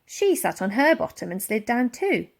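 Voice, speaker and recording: A woman reading a children's storybook aloud as an expressive, dramatic narrator who acts out the sound words. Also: on the high side, normal speed, creaky. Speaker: audiobook reader.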